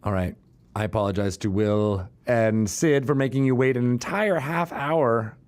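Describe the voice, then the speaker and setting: A man's voice: medium pitch, moderate pace, a little mellow. A man with a mid-range voice hosts a casual talk show. He rambles and jokes in a stop-start way, chatting loosely as he goes. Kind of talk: casual chat with co-host and guests.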